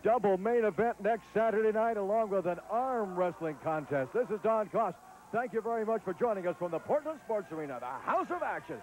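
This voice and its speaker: A man's voice: high-pitched, lively intonation, a little fast, a little mellow. A male wrestling TV host, commentating ringside and hard-selling upcoming events. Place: arena commentary booth.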